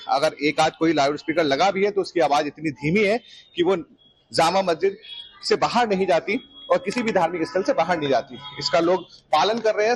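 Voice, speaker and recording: A man reporting to camera from location for TV news. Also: sits high. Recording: faint outdoor ambient noise.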